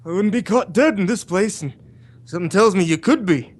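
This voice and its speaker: A man's voice: high-pitched, animated, fairly quick. An actor playing an odd, ominous man of few words in an old-time radio comedy, droll and foreboding, on a vintage recording.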